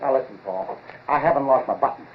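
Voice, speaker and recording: An actor playing an earnest, excitable lab researcher, urgent and insistent, in a 1940s radio drama style. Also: male voice, medium pitch, fairly quick, slight background noise, natural voice. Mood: defensive unease. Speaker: supporting actor. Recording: tinny, muffled-detail 1940s radio recording.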